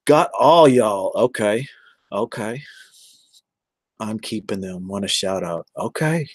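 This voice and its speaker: A man's voice: lowish voice, expressive, slow, slightly rough, no echo. An American man in his forties chatting casually on a livestream, rambling and addressing viewers one by one. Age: 40s. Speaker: live streamer.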